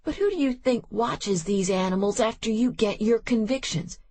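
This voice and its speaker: A man's voice: a little high, lively intonation, slow pace, no echo. A woman voicing an agitated, hot-tempered male prison official who talks defensively and emphatically. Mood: angry.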